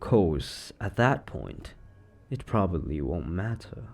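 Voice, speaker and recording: A man playing an eccentric, secretly sinister occult shop owner in a roleplay monologue, chatty and offhand with theatrical, drawn-out words. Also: deep voice, sing-song, creaky, darkish tone, exaggerated voice. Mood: casual, evasive. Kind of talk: scripted roleplay monologue to the listener.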